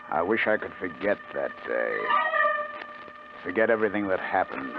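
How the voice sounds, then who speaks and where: An actor playing a brooding, regretful male criminal mastermind, terse and weary, in a 1950s radio drama. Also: lowish voice. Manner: terse, weary, hard-boiled. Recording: tinny old radio recording, music behind.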